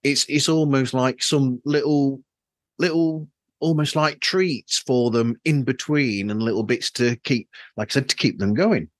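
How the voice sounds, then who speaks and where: A man's voice: medium pitch, a little slow, dry recording. Man hosting, dry close sound. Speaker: podcast host. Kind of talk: casual interview chat.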